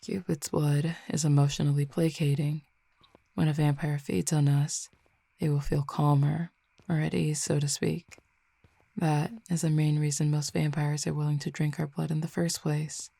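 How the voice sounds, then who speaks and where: A woman voicing a commanding, teasing fallen Cupid in an audio roleplay, speaking slowly and intimately with soft whispered stretches. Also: low voice, level tone, vocal fry, breathy edge, no room sound, full character voice. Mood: calm explaining. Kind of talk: audio roleplay addressing the listener.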